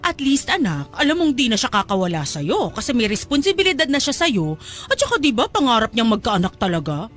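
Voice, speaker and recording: A woman's voice: on the high side, sing-song, brisk, soft background music. An actress playing a pushy, scheming middle-aged mother in a Tagalog audio drama, coaxing and insistent. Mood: persuasive.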